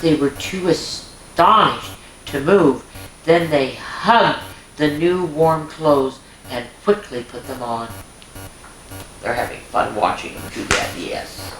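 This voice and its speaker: A woman hosting a children's storytime, reading a picture book aloud and adding homely, chatty asides for the young listeners. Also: low voice. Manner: homely, chatty, exclaims and points things out to children. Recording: echoey room with background music.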